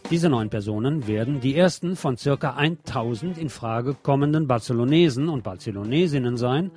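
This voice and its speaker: A man's voice: medium pitch, lively intonation, normal speed, darkish tone. Male radio presenter with music behind him. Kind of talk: scripted news magazine narration.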